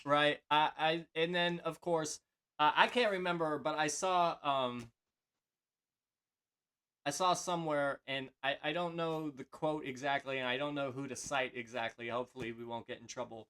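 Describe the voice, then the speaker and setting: Bright-voiced man rambling about wrestling. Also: a little high, fairly even intonation, moderate pace, smooth. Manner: rambling, filler-heavy, hesitant. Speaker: podcast co-host. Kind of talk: casual wrestling discussion.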